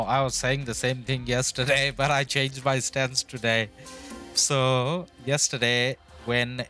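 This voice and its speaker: Man, live stream host, casual game commentary, relaxed and hedging, music playing behind. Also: medium pitch. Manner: relaxed, hedging, reads chat aloud. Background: background music.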